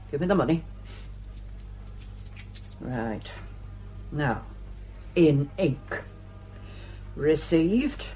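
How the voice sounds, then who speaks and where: A woman's voice: sits low, a little mellow, low-quality recording. Haughty, grasping old Northern English landlady. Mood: indignant, grudging. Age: older. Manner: haughty, scolding, sarcastic, blunt. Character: grasping, spiteful old female mill owner and landlady. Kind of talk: radio drama acting.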